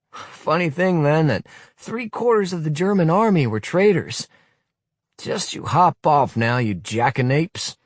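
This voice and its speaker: A man playing a blunt, scornful ex-soldier in a story reading, whose voice is pushed up above the narrator's own and sounds gruff and mocking. He talks loudly with a wide, lively swing of pitch. The sound is close and dry. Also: no echo.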